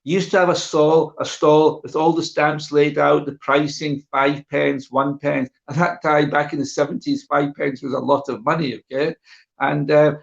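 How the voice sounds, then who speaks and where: A middle-aged man with a slightly high voice shares recollections in an informal online talk. He repeats phrases for emphasis and keeps checking in with listeners. The audio is dull and a little muffled. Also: vocal fry. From born in Guyana. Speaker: guest speaker sharing memories of his father. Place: live online video call.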